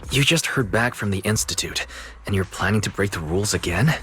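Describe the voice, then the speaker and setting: A young male team captain in game dialogue. His voice is fairly low but very bright and forward, questioning and earnest, skeptical yet dependable, with light music behind. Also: lively intonation, a little fast. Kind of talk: scripted video game dialogue.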